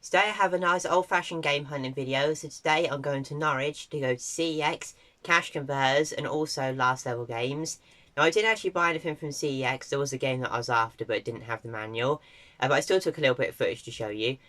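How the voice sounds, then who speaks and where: Croaky man, mid-range pitch, casual and upbeat vlog narrator with a sore throat. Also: fast, sharp tone. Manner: chatty and enthusiastic, repeats "really, really". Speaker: retro game collector vlogger.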